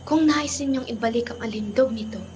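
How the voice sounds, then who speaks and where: A woman's voice: fairly high pitch, brisk, noisy background, natural voice. A woman playing a solemn, protective lake fairy in an amateur radio drama, with a Filipino accent and formal manner. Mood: grave, hopeful.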